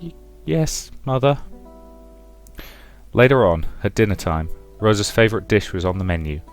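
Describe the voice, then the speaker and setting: A man's voice: deep voice, fast. A man hosting a let's play, narrating a visual novel as a dramatic story. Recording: music behind the voice.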